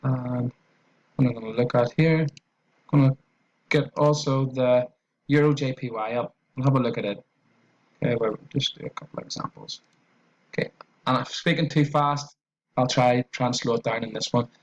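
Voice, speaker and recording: A man's voice: medium pitch, fairly even intonation, moderate pace, pauses often. Irish man explaining trades, muffled mic. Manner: loose, repetitive explaining with fillers and restarts. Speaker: trading educator. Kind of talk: screen-share trading tutorial.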